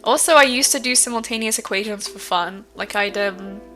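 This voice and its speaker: Bright-voiced woman streamer chatting casually. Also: mid-range voice, a little fast, soft background music. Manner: rambling, many fillers, swears casually. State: stuffy nose from hay fever. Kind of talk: casual chat with viewers.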